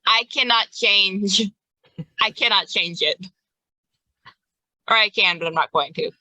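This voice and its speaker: A woman's voice: medium pitch, unhurried, bright, forceful tone, dry recording, rough recording. A woman running a tabletop game as game master, chatting casually with her players.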